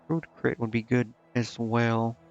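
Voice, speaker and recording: A man's voice: medium pitch, monotone, a little slow, darkish tone, highs cut off. A man giving casual gameplay commentary, indecisive and muttering to himself as he streams. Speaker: game streamer. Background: game music.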